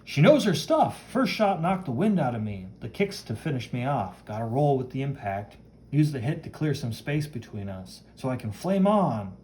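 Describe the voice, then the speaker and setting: Man playing a brash young superhero, lively swings. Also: medium pitch, normal speed, a little hiss or hum, natural voice. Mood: confident. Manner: brash, dramatic, read-aloud comic narration. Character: cocky, chivalrous young male superhero who wields flame.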